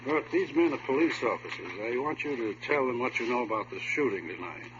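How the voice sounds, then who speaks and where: A man's voice: mid-range voice, animated, brisk, natural voice. An actor playing a kindly, patient, plain-spoken man on a sanitarium's staff in a radio drama. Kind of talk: 1950s radio drama acting. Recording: dull, noisy old radio recording.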